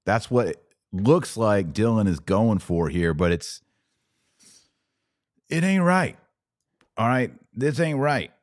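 Low-pitched, unhurried American man. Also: very animated, no echo, clean studio sound. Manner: conversational, pointed rhetorical questions. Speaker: podcast host. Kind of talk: live podcast commentary to a chat audience.

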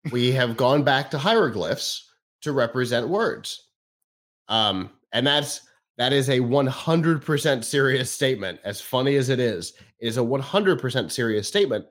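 A man's voice: mid-range voice, lively intonation, moderate pace, fairly bright tone. A man co-hosting a podcast in casual chat, wry and emphatic with his opinions. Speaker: podcast co-host.

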